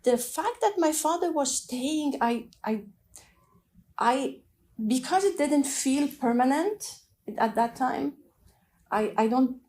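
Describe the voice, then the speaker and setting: A middle-aged woman from Afghanistan with a French accent, thoughtfully telling her own story of migration with pauses and restarts. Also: high voice, animated. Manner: thoughtful, soft-spoken, pauses and restarts while recalling. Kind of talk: reflective personal storytelling.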